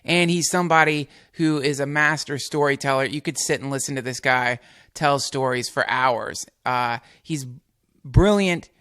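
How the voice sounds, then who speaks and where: A man's voice: mid-range voice, normal speed, sharp tone, clear, resonant tone, no echo, studio quality. A man hosting a casual podcast from a small home setup, rambling and swearing as he introduces and questions his guest. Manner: rambling, profane, playful intros. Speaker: podcast host. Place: home studio.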